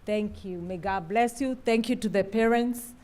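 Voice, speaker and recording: A woman's voice: mid-range voice, moderate pace, a little mellow. A middle-aged woman from Kenya, a Navy chief speaking earnestly and gratefully at a ceremony, then calling out names. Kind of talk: ceremony speech.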